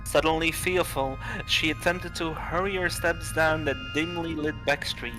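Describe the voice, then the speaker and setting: A man reading visual novel dialogue and narration aloud on a livestream, halting and stumbling over some words. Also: a little high, steady tone, over music.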